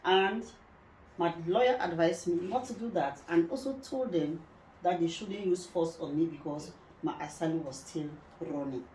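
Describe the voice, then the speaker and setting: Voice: medium pitch, normal speed, noisy background. A host from Cameroon asking follow-up questions and affirming the guest in an interview. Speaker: talk show host. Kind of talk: interview conversation. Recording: echoey room.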